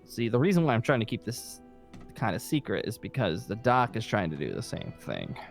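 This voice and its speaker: A man playing a weary, irritable survivor leader in an improvised zombie game roleplay, talking in a halting, rambling, emphatic way. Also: on the low side, huge pitch swings, vocal fry, faint music behind, exaggerated voice. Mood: hesitant, hopeful. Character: weary, irritable safe-haven leader secretly seeking a zombie cure.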